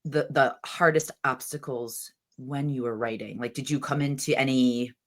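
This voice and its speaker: A woman's voice: very deep voice, fairly even intonation, normal speed. A woman hosting a podcast in casual interview chat, chatty and enthusiastic, full of fillers like "like". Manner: chatty, enthusiastic, uses "like" a lot. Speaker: podcast host.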